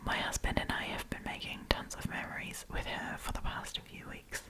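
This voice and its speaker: A woman whispering in a breathy, chatty, informal way as she hosts an ASMR storytime, reading aloud and commenting. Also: normal speed, sharp tone. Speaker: host of storytime series.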